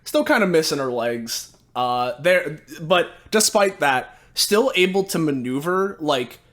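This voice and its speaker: A man with a slightly high voice describes his character's moves in a tabletop game. He is casual and rambling, with halting phrases and self-corrections. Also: animated, fairly bright tone. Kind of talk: casual improvised game narration.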